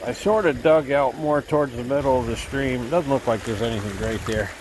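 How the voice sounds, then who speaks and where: A man's voice: medium pitch, a little fast. Man, stuffy with a cold, easygoing rambling talk, outdoors by a stream, camera mic, faint water noise. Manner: easygoing, rambling, thinking aloud. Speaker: gold prospecting vlogger. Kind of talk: casual outdoor vlog narration.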